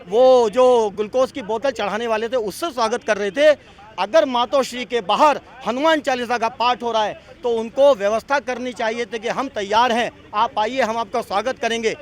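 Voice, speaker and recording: An indignant man protester arguing combatively with a reporter outdoors, throwing out challenging rhetorical questions. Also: extremely high pitch. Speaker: protester interviewed on the street. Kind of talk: combative political argument to a reporter. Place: outdoors on a city street.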